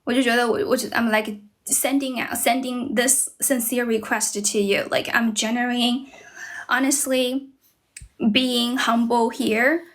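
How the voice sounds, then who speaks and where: A woman's voice: fairly high pitch, fairly even intonation, unhurried, a bit bright. A young woman from China with a Chinese accent in English, chatting casually on a livestream as an English teacher and thanking viewers as she goes. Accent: Chinese, mixed with American and British.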